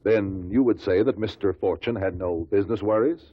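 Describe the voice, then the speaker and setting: Actor as a husky, deep-voiced inquest investigator. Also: male voice, brisk, dry recording, lightly acted. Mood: probing, measured. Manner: formal, probing, insistent questioning. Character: shrewd male amicus curiae questioning witnesses at an inquest. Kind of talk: 1950s radio drama acting. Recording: muffled old radio recording.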